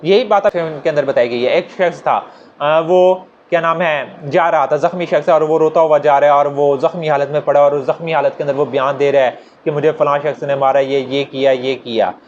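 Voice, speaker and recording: A man's voice: a little high, steady tone, a little fast. A man with a Pakistani accent giving an informal explanatory law lecture, conversational, repeating his points and walking through examples. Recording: dull lo-fi audio with noticeable noise.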